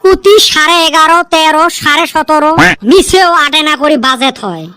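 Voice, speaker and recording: A woman's voice: sits high, normal speed, sharp tone, dry recording, studio quality. A woman voicing a lazy, wisecracking village schoolboy in a comedy cartoon, with a western Assam accent. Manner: wisecracking, grumbling.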